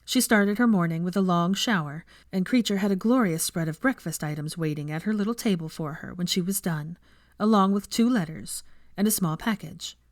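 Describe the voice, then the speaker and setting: A woman narrating a story in an expressive audiobook reading style. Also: fairly low pitch, fairly quick, no room sound, studio quality.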